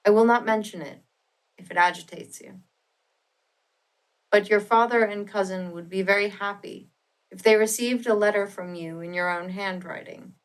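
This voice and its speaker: Audiobook role: caring, earnest young gentleman friend, gentle and devoted, read by a woman. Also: mid-range voice, steady tone, normal speed, lightly acted, poor audio. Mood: gentle reassurance.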